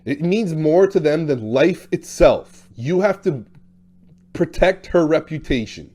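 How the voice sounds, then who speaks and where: A man's voice: on the high side, expressive, unhurried, mellow. A man in his thirties or forties talking bluntly and casually about dating, with lots of fillers. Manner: talkative, blunt, acts out quotes in shifting voices. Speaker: dating coach. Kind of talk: casual monologue to camera.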